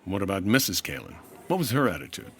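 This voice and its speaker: A man's voice: low voice, sing-song, fast, a bit bright, soft background music, heavily acted. A man playing a patient, wry 1950s insurance investigator in old-time radio detective style, dry and understated. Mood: curious, patient. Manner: dry, understated, world-weary.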